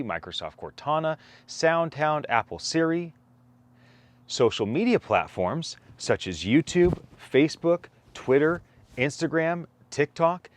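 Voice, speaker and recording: A man reading a technical document aloud, phrasing deliberately and stressing each item as he goes. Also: medium pitch, dry recording. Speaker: co-author reading a whitepaper aloud. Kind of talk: read-aloud document narration.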